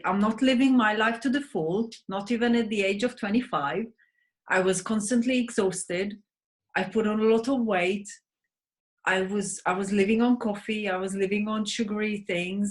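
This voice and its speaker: Hungarian-accented woman telling her story. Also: mid-range voice. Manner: earnest, storytelling, frequent restarts. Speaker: guest, sleep advocate. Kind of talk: interview conversation.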